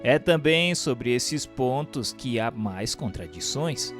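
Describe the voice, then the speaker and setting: A male narrator with a medium-pitched, very animated intonation reads formal scripted text for an audio drama. He phrases things carefully at an unhurried pace, with music underneath. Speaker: narrator and questioner.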